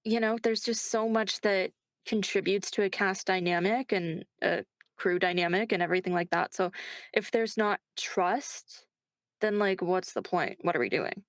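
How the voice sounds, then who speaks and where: Woman, interview guest, casual chat, reflective, mid-pitched, informal, dry recording. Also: moderate pace, no room sound.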